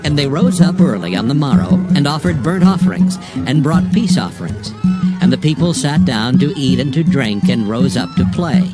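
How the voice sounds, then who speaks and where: A man's voice: fairly high pitch, lively intonation, moderate pace. A man narrating dramatized scripture in a solemn storytelling manner. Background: loud music.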